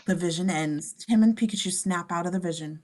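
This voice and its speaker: A woman's voice: fairly low pitch, fairly quick, a little mellow. A woman reading scene descriptions as narrator in a table read of a script.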